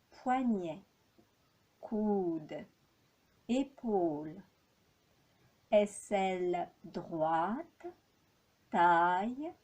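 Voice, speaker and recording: A woman leading a guided yoga relaxation as an instructor, speaking calmly and in measured phrases with long pauses. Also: medium pitch.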